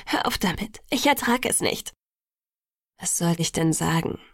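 Woman playing an anguished, breathy young woman, pleading. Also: lowish voice, expressive, measured pace, dry recording, studio quality, voice pushed down, heavily acted. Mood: pleading, desperate. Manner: pleading, whispered and murmured. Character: anguished young woman, guilt-ridden and self-loathing. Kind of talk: audiobook dialogue.

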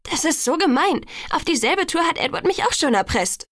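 A woman's voice: extremely high pitch, big pitch swings, normal speed, a bit bright, no room sound, clean studio sound, pitched above the performer's own voice, full character voice. Woman voicing reluctant, exasperated teenage girl. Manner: protesting, exasperated. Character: reluctant, exasperated teenage bride-to-be, defensive and earnest.

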